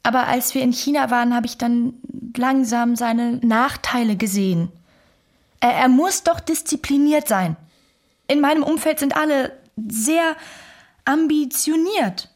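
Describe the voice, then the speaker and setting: Earnest Chinese wife, higher voice. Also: female voice, unhurried. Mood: earnest, reflective. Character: Chinese wife, earnest and ambitious, recalling her marriage. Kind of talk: documentary radio play monologue.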